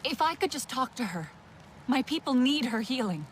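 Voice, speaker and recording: A woman's voice: high-pitched, very animated, moderate pace, a little hiss or hum. An actress playing a determined young female outsider who earnestly pleads for help.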